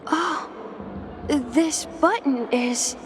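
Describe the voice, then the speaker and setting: A woman's voice: sits high, extremely slow, background noise. A woman playing a starstruck, eager young fan in a game cutscene, flustered and stammering. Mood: eager awe.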